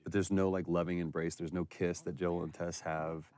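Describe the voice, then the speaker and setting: A man's voice: low-pitched, flat delivery, normal speed, darkish tone, clear, resonant tone. A man giving casual, enthusiastic behind-the-scenes commentary as an actor looking back on his work. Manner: enthusiastic, effusive.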